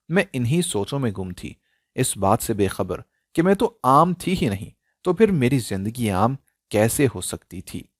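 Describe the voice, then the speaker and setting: A man narrating a scripted emotional story in a dramatic, expressive style. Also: mid-range voice, no room sound, studio quality.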